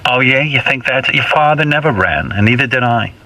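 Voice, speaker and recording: A man voicing a fussy, nagging mother in her eighties who lectures in halting, emphatic phrases for a radio comedy bit. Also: medium pitch, expressive, bright, forceful tone, poor audio. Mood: huffy.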